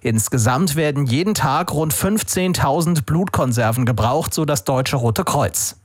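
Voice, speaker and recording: A man's voice: on the high side, lively intonation, measured pace, dry recording, studio quality. A man reading scripted radio news as the newsreader. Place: dry studio.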